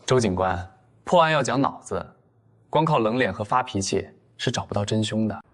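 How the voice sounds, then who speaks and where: An actor playing cool, restrained, clever young men in a detective drama for an animated comic. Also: male voice, on the low side, moderate pace. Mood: cool, provoking.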